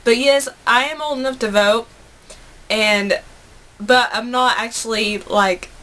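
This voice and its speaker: A woman's voice: fairly high pitch, unhurried, fairly bright tone. An American woman in her twenties chatting casually and earnestly to viewers as a video blogger, answering questions in an informal, rambling way on a noisy home recording. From United States. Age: 20s. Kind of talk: casual chat to camera.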